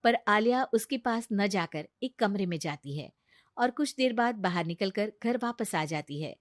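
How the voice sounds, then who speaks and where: A woman's voice: mid-range voice, animated, a little slow, no echo, clean studio sound. A woman narrating a scripted story in a dramatic storytelling style.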